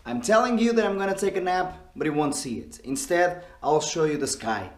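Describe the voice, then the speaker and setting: A tired, hoarse man, a drum teacher, talking casually to camera in a vlog about his teaching day. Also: on the high side, animated, brisk.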